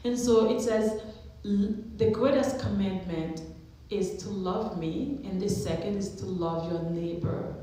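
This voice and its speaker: A woman's voice: medium pitch, a little slow, mellow. Woman in her thirties as chapel speaker, earnest and encouraging, heavy chapel echo. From Haiti. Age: 30s. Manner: earnest, encouraging, asks the listeners questions. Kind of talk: chapel talk to students. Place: school chapel. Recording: echoey chapel.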